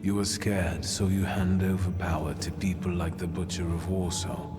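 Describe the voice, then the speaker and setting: An actor playing a resolute, menacing mystic who leads rebels in a video game, speaking in a commanding, deliberate manner. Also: male voice, low voice, flat delivery, natural voice. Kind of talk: dramatic game dialogue. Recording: long hall reverb, loud music.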